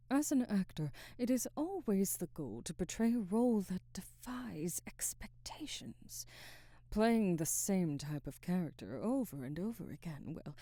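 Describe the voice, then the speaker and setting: A woman playing a haughty, guarded middle-aged Hollywood actress in an ASMR roleplay, speaking formally in a soft, breathy voice that often nears a whisper. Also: low voice, no echo, studio quality, full character voice. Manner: formal, measured, aloof phrasing. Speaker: ASMR voice actor. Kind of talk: ASMR roleplay, scripted dramatic monologue.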